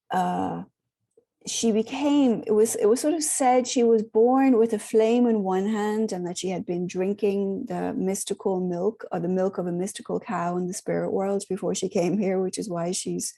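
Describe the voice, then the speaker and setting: A hoarse woman with a sore throat, gently leading an online spiritual group in a rambling, casual way with many pauses. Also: on the low side. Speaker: spiritual workshop host.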